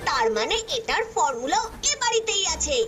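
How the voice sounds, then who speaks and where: A woman's voice: sits high, big pitch swings, moderate pace, music in the background. A woman voicing a small alien agent sent on a secret mission, talking in an eager, sneaky, childlike way. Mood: scheming.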